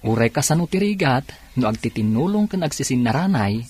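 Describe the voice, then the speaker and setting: A man playing an earnest, forgiving young nephew with a husky voice in an Ilocano radio drama. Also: medium pitch, big pitch swings, a little fast, a little mellow.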